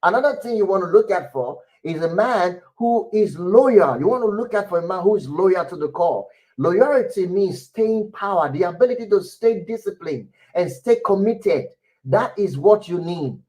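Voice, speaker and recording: A middle-aged man with a loud, airy voice, giving relationship advice to women in an emphatic way. He repeats his points and keeps checking in with his listeners. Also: high voice, lively intonation, normal speed, low-quality recording.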